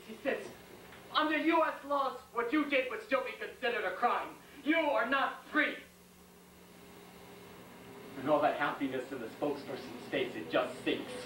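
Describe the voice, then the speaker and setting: A man playing a shaken young American World War II soldier in a dramatic stage monologue, speaking in plain, halting, intense colloquial speech. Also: on the high side, fairly bright tone, natural voice. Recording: echoey room, distant mic, faint noise.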